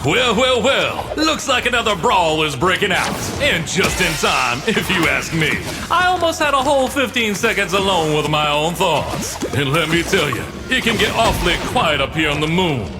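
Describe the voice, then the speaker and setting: An actor playing an excitable, jumpy pirate-radio DJ who talks in a showy, theatrical announcer style. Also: male voice, high-pitched, normal speed, few pauses, over music. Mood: wry showmanship. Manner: animated, chatty, showy. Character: excitable, jumpy male pirate-radio DJ living alone on a moon base. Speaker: radio DJ and narrator.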